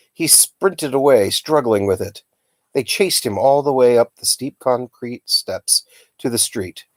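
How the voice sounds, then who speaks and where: A man reading a children's novel aloud as a storyteller, with a dramatic, expressive delivery. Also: on the low side, no room sound. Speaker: storytime reader.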